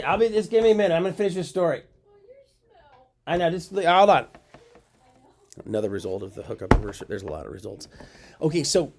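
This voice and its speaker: Emotional, self-mocking male storyteller. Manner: emotional, self-mocking, with sudden pitch jumps. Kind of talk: personal storytelling.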